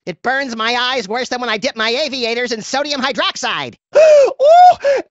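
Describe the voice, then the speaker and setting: Game role: gleefully violent little rabbit creature, theatrical and flippant, wildly swooping delivery, dry slightly dull recording. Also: male voice, very high-pitched, measured pace, exaggerated voice. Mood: flippant, wry. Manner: flippant, theatrical, deadpan absurd menace. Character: manic, violent, gleeful cartoon rabbit-like creature, male, small. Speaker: video game character. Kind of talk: comedic video game voice acting.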